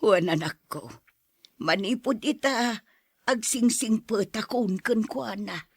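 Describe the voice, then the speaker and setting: Woman acting a frail, husky, remorseful old grandmother. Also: a little high, takes it slowly, exaggerated voice. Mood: grateful, humbled. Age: older. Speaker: drama actor. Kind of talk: radio drama acting.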